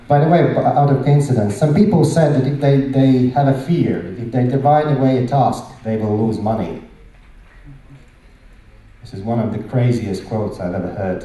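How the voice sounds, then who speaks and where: Echoey, dark-voiced Latvian-accented man presenting. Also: fairly low pitch. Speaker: conference speaker. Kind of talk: conference presentation. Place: large conference hall, indoors. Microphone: handheld microphone. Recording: very echoey hall, distant sound.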